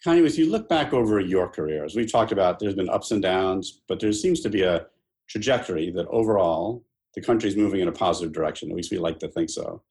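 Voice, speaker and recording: A man conducting an interview, asking calm, prompting questions. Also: sits low, fast talker.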